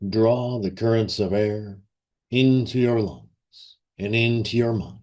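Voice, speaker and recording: A man leading a guided meditation and ceremonial invocation in a solemn, reverent, measured way with long pauses. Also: lowish voice, darkish tone.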